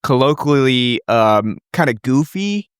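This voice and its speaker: A man's voice: mid-range voice, a little slow, clear, resonant tone, dry recording, clean studio sound. Laid-back podcast guy explaining a film. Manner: relaxed, explanatory, laughs often. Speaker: podcast co-host. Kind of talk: casual film discussion. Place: podcast studio.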